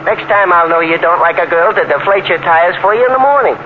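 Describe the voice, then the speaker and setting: An actor playing a wisecracking, cautious cab driver with slangy working-class diction, in comic 1940s radio drama style. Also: male voice, high voice, expressive, fast. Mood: joking. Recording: noisy, tinny old 1940s radio recording.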